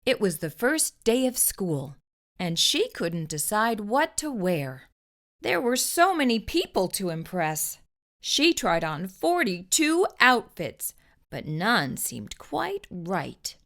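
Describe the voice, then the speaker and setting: A woman narrating a children's storybook in an expressive, deliberate way as a storyteller. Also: medium pitch.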